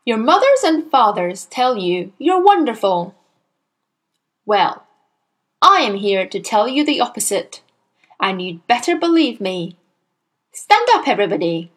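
A woman's voice: fairly high pitch, very animated, measured pace, pauses often, a bit bright, heavily acted. A woman voicing a furious, bullying headmistress who barks and bellows her orders while reading a storybook aloud. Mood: commanding. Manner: bellowing, contemptuous, barking orders.

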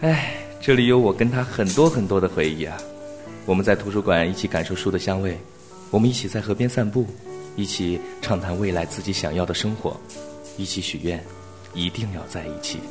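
A man playing a wistful, gentle young man with a reflective delivery in an audio drama. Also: deep voice, a little fast, natural voice. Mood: nostalgic. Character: wistful young man longing for a lost love. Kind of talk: Chinese audio drama acting. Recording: music behind the voice.

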